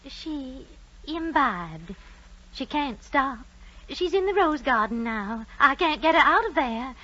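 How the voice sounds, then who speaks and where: An actress playing a genteel, fluttery middle-aged society hostess in an old-time radio drama. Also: female voice, high-pitched, animated, takes it slowly, sharp tone, heavily acted. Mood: fluttery, eager. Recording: dull, lo-fi old radio recording.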